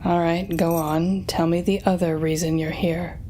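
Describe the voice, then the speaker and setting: Low-voiced, taunting villainess, acted by a woman. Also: level tone, mellow, slight background noise, lightly acted. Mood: probing, sly. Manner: taunting, theatrical, drawn-out teasing phrasing. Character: sardonic female telepathic supervillain, defeated but smug. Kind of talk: intimate audio roleplay drama, speaking to listener.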